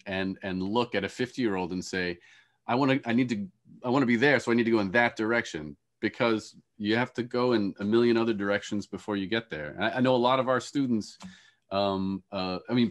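A middle-aged man making conversational, explanatory panel remarks as a moderator, halting and repeating words as he goes. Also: mid-range voice. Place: indoors on a video call.

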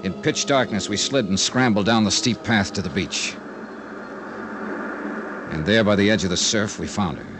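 Hard-boiled radio detective, animated delivery. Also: male voice, deep voice, heavy background noise. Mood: somber. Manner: hard-boiled, clipped dialogue and brooding narration. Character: tough, world-weary male insurance investigator narrating his case. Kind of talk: 1950s radio detective drama acting. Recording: old radio recording with background music.

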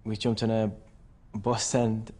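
A man's voice: fairly low pitch, little pitch movement, slow, background noise. A man, casually recalling events in an interview. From English Midlands. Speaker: documentary interviewee. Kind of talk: casual recollection.

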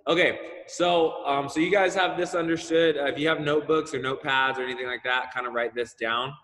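A man's voice: medium pitch, fairly bright tone. A man coaching volleyball over a video call, explaining the session plan in a casual, filler-heavy way. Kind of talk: online coaching seminar. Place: large indoor gym. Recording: long gym reverb.

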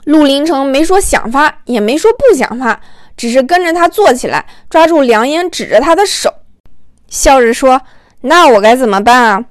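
A woman's voice: high voice, no echo, clean studio sound. A woman narrating an audiobook in a storytelling style, acting out the dialogue as she goes.

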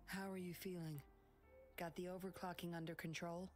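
A woman's voice: fairly low pitch, flat delivery, normal speed, soft background music, lightly acted. An actress playing a composed, commanding but caring woman in an English video game, giving clipped orders. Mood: concerned. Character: cool, commanding red-haired woman, firm but caring.

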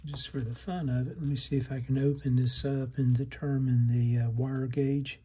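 A man narrating a hands-on repair demonstration, haltingly thinking aloud as he works at a bench indoors. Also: medium pitch, flat delivery, warm tone, breathy edge. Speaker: host of a radio repair channel.

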